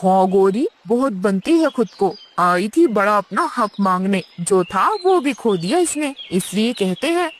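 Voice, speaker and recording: Slow, sneering crow villain voiced by a woman. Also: mid-range voice, very animated, voice pushed down. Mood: gloating. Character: gloating, mean male crow villain.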